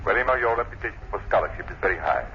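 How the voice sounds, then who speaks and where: A man's voice: fairly low pitch, lively intonation, racing, lightly acted. An actor playing a severe, dignified male university president in an old radio drama. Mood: stern, indignant. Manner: severe, formal. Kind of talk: 1940s radio drama acting. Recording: muffled, noisy old-time radio recording.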